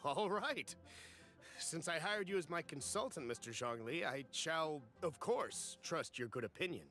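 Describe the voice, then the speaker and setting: A man's voice: fairly high pitch, animated, a little slow, bright, forceful tone, faint music behind. An actor playing an eager, fussy male merchant in a video game, speaking stiffly and formally with nervous hesitations. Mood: deferential. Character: eager, fussy male merchant, anxious about being cheated.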